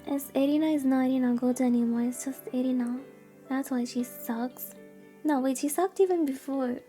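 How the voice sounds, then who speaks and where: A woman's voice: high-pitched, fairly even intonation, normal speed, warm tone, soft background music. A woman streamer reading a visual novel aloud in a casual livestream style, informal and given to playfully exasperated commentary.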